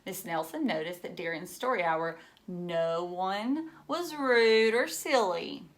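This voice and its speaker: A woman's voice: lowish voice, animated, slow. A woman reading a picture book aloud to children, theatrically, with questioning asides to the young listeners.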